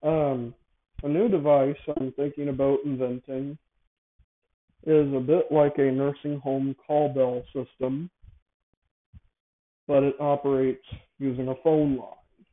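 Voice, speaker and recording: A man speaks over narrow, phone-like audio in a casual, unscripted monologue. He hosts in a halting way, often doubling back to correct or repeat his phrases. His pitch sits in an ordinary middle range. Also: little pitch movement. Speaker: show host. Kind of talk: casual improvised monologue, explaining a device idea.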